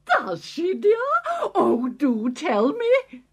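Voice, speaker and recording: Brief: actress as a gushing, kindly witch, high and swooping, children's tape. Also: very animated, drawn-out words, darkish tone, exaggerated voice.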